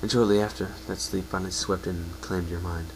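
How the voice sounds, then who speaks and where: A man's voice: low-pitched, little pitch movement, fairly quick, rough recording. A man narrating a second-person story as a dramatic reading, sometimes stumbling and correcting himself. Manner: reads with stumbles and self-corrections. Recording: home recording with faint hum.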